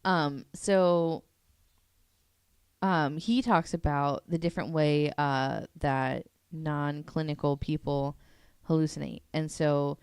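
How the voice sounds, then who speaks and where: Low gravelly woman rambling on a podcast, fillers everywhere, dry sound. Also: fairly even intonation, slow, a little mellow, no echo. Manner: chatty, self-deprecating, many fillers. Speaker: podcast panelist presenting a topic. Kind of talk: casual podcast chat.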